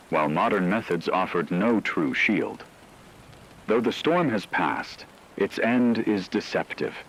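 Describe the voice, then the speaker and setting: A man playing a radio newsman who gravely reports a supernatural horror in formal, ominous scripted narration. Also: low voice, a bit bright, slight background noise, exaggerated voice, poor audio.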